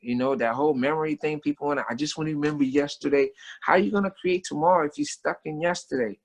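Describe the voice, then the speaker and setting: A man's voice: a little high, fast talker. Slangy, emphatic American man talking casually. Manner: animated slangy philosophizing, emphatic repetition. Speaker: guest, street artist. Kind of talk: casual conversational talk and storytelling.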